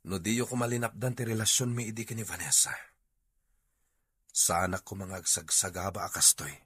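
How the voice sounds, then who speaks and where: A man's voice: fairly low pitch, steady tone, moderate pace, a bit bright, slightly rough. A man playing a frustrated Filipino husband and grown son in a drama. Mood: dejected. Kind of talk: comedy-drama acting.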